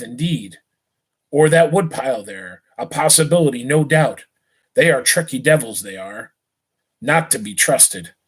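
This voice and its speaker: A man's voice: mid-range voice, a bit bright, husky, exaggerated voice. A man reading the lines of a distrustful British army sergeant from a storybook, in a muttering, suspicious way.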